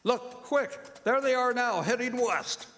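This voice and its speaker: A man playing an earnest gentleman vampire hunter reading his clipped journal entries in a live radio drama. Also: very high voice, slow, heavily acted. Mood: excited. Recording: reverberant stage hall, faint noise.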